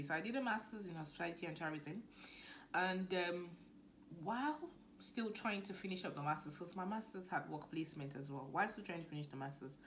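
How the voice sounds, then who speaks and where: A young woman with a Nigerian accent talking casually to camera as a business coach, lively and now and then dropping to a near-whisper. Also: lowish voice, a little hiss or hum. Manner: chatty, animated, drops to near-whisper asides.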